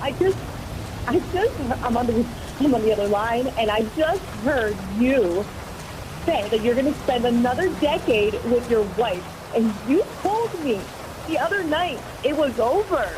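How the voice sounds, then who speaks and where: A woman with a high, lively voice speaks insistently in a phone confrontation. She repeats herself as she presses her point, with faint noise behind her. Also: measured pace. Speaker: caller confronting her lover.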